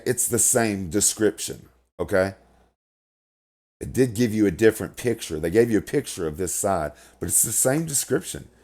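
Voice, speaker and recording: A man's voice: sits low, darkish tone. A middle-aged man with a crackly voice, rambling through casual game commentary from his home setup. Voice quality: crackly, gravelly voice. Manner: rambling, repeats words, swears when annoyed. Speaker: gaming video host. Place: home room, indoors.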